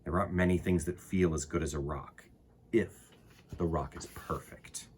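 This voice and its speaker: A man with a husky voice reading aloud to children as a storytime host, expressively, with dramatic emphasis and pauses. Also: low voice, steady tone, slight background noise. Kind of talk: animated picture-book read-aloud for children.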